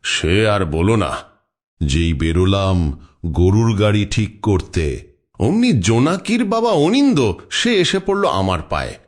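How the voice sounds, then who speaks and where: Casting: middle-aged village exorcist dad male actor, husky, theatrical, hugely animated delivery, protective and bold. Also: on the low side, slow pace, heavily acted. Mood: dramatic recounting. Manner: theatrical, emphatic. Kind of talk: dramatised horror cartoon dialogue.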